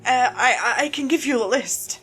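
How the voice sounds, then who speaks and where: A woman's voice: sits high, moderate pace, fairly bright tone, faint music behind, pitched above the performer's own voice. A woman voicing a shaken, panicked suspect in a horror story, stammering and tremulous as they plead with police. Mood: panicked, distraught. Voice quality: tremulous, breathy gasps. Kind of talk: dramatic horror story reading.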